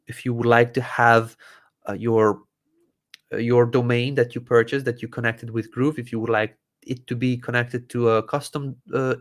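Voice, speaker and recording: A man from Croatia hosting a live Q&A, walking viewers through software settings step by step with many fillers and restarts. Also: mid-range voice. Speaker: host answering user questions. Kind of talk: live Q&A tech support walkthrough.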